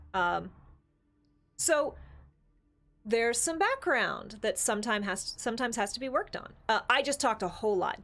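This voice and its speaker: A woman's voice: mid-range voice, fairly bright tone. A woman co-hosting a casual, opinionated discussion, talking at length emphatically with rhetorical questions. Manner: talkative, emphatic, builds long explanations with rhetorical questions. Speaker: podcast co-host.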